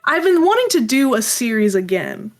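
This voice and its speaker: High-voiced woman, chatty and self-deprecating. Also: moderate pace. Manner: animated storytelling, self-deprecating, acts out quoted exchanges. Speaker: guest, audio drama creator. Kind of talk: casual interview chat.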